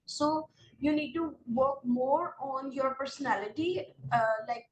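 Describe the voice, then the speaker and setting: A woman with a Pakistani English accent, an exam-prep instructor giving earnest, direct advice to candidates and pausing often on fillers. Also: sits high. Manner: earnest, direct advice in second person, many filler pauses. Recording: roomy, distant mic with faint ambience.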